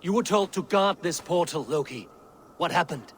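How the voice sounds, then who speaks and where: A man playing a stern, wary Norse high god in a video game cutscene, speaking in a measured, authoritative and formal way. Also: lively intonation, fairly bright tone, slight background noise. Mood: stern questioning.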